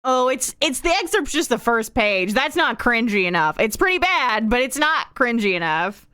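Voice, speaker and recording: A woman livestreamer chats off the cuff with a wry delivery and a very lively, swooping pitch. She stretches and repeats words for exaggerated emphasis. The sound is dry, with very little room in it. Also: moderate pace, smooth, dry recording. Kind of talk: casual rambling chat to stream viewers.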